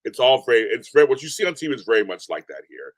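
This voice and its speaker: A deep-voiced man chatting as a podcast guest, animated and rambling, often repeating himself. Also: very fast, breathy edge, no room sound. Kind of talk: casual interview chat. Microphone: remote call from home.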